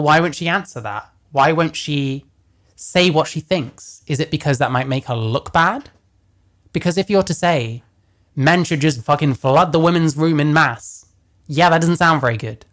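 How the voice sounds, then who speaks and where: A man with a deeper voice giving casual reaction commentary, blunt and profane as he rants. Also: fairly bright tone. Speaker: commentary host.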